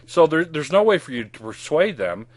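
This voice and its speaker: A man's voice: on the high side, sing-song, normal speed, dry recording. A man giving an instructional sales training talk in a direct, conversational way, stressing his key points.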